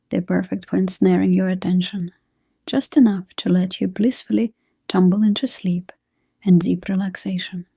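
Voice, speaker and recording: A woman reading a scripted sleep hypnosis session in a gentle, hushed, soothing manner. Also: mid-range voice, breathy edge, no echo, studio quality. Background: soft new-age music.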